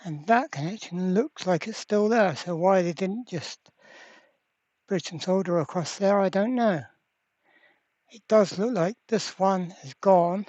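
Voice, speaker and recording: A presenter gives casual commentary while working at a bench. The delivery is slow and a little hesitant, as if thinking each step through aloud. The sound is close and dry, but somewhat dull and lo-fi. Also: medium pitch, darkish tone, no room sound. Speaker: repair video presenter. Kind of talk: casual hands-on workbench commentary.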